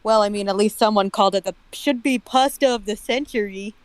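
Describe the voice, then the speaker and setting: A woman with a crisp, clear voice at a middling pitch, recorded dry. She chats casually as a guest among co-hosts. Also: a little fast, no room sound. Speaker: guest co-host. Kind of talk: casual chat.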